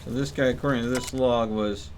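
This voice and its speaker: A man's voice: medium pitch, steady tone, a little fast, a little mellow, slight background noise. A man giving casual live commentary as he streams a game, musing to himself as he goes.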